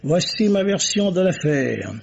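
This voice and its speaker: An actor playing a shrewd, courteous, self-assured police commissioner in a formal, theatrical radio mystery style. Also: male voice, high voice, big pitch swings, a little slow, vocal fry, husky, heavily acted. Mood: solemn, accusing. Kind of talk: vintage French radio mystery acting. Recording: dull, muffled old radio recording.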